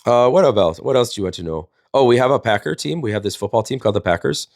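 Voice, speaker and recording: A man's voice: mid-range voice, expressive, fast talker, darkish tone, no echo, clean studio sound. A man, telling casual comedic stories in a rambling, folksy, jokey way as a livestream host. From Wisconsin, United States.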